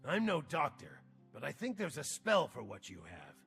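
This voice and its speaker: An actor playing a weary, sardonic old archmage in a video game, terse and dry-witted, with a gruff, raspy voice. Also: male voice, on the high side, wildly swooping pitch, moderate pace, a bit bright, faint music behind, full character voice. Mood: sardonic taunting. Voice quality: raspy, gravelly.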